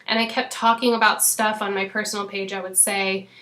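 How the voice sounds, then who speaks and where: A woman in her thirties with a hoarse, fading voice talks casually to her coaching team, filling pauses with "um" and "you know". Her pitch sits in a middling range. The room around her sounds echoey. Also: flat delivery, sharp tone. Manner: chatty, frequent "um" and "you know". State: losing her voice. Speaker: fitness coach.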